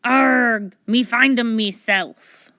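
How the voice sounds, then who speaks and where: A woman voicing a gruff, blustering male pirate captain with a stage pirate accent in a storybook. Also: fairly high pitch, drawn-out words, bright, forceful tone, no room sound, studio quality, exaggerated voice. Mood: grumbling. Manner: barking, snarling, boastful.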